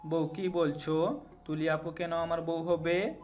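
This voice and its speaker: Pleading, higher-voiced young husband, played by a man. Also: level tone, slow pace, a little mellow. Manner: plaintive, pleading. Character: confused young husband pleading with his angry wife. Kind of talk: audio story acting. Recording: music under the voice.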